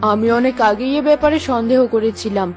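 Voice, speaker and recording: A woman playing a gentle, motherly, ailing queen in a fairy-tale cartoon. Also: a little high, quick pace, faint music behind, lightly acted. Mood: calm, sorrowful.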